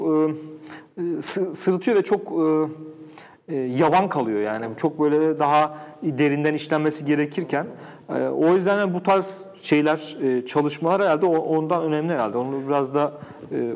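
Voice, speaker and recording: Halting male host with long reverb. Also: a little high, darkish tone. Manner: halting, searching for words, many false starts. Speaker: interview host. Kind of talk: casual unscripted conversation. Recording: echoey hall-like reverb.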